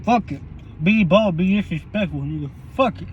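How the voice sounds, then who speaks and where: A young man with a somewhat high voice rambles on in a loose, profane way between bites. He talks to camera for a casual eating vlog from a parked car, with faint street and car sounds behind him. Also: normal speed, highs cut off, a little hiss or hum. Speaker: vlogger.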